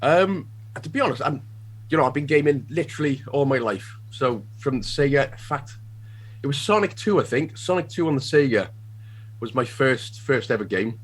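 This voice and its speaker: A Welsh man talks on a gaming podcast in a loose, self-mocking way, dropping swear words as he goes. His pitch rises and falls with a lot of life, and his voice has a slightly husky edge. He is heard over a remote call mic. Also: expressive, moderate pace. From Wales. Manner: swears freely, laughs at himself. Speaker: podcast guest. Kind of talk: casual podcast chat.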